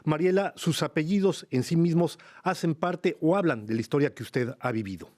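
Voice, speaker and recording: A man hosting a radio interview, speaking in a measured, formal way and leading with long framing questions. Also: a little high, darkish tone. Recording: radio broadcast studio.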